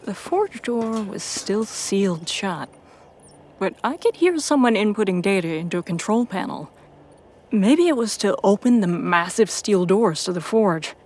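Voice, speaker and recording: A woman reading a first-person story as a dramatic narrator, with intense pauses and hushed, breathy phrases. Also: medium pitch, lively intonation. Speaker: narrator of a fan fiction audio story.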